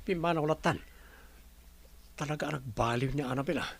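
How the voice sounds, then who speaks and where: A man's voice: on the high side, fairly quick, vocal fry, husky. A man voicing a jealous, short-tempered young businessman with an Ilocano accent. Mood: scheming. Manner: short-tempered, scolds his servant.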